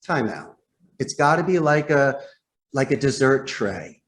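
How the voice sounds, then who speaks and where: A man with a mid-pitched voice coaches phone sales as a guest trainer. He is emphatic and demonstrative, acting out example lines. He addresses the listener directly, with a salesman's habit of posing questions and answering them. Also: sing-song, unhurried. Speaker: podcast guest, call center sales trainer.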